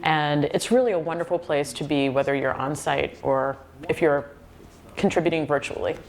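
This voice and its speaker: A woman's voice: deep voice, normal speed, a little mellow. A woman representing a museum, speaking earnestly in an interview style.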